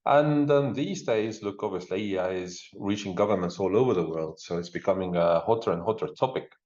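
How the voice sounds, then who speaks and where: A man with an Estonian accent gives an informal, conversational presentation as a remote guest speaker. His voice sits on the low side. The sound is slightly dull and muffled, with clear room echo around it. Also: darkish tone. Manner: informal, uses frequent discourse markers like "look" and "you know". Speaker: guest speaker, digital government expert. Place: speaking remotely over a video link.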